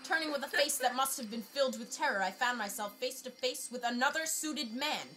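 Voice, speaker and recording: A woman's voice: high voice, a little fast, noisy background. A young woman giving a dramatic, mock-grandiose reading of her humorous personal essay. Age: young adult. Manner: theatrical, mock-grandiose delivery. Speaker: essayist reading own work. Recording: loud orchestral film score behind voice. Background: dramatic orchestral music.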